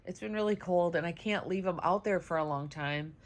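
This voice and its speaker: Casual home vlogger, woman, stuffy nose, sing-song with her pets, easygoing chatter. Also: a little fast. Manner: high sing-song baby talk to her dogs; chatty, many "um"s. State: congested, nasal. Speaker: vlogger, dog owner. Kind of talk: casual home vlog chat. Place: home, indoors.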